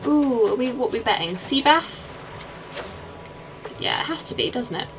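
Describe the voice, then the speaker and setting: A woman's voice: fairly high pitch, expressive, normal speed, slight background noise, poor audio. A young woman with an English accent, chatting casually and rambling while she plays a game and answers her chat. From England. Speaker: streamer.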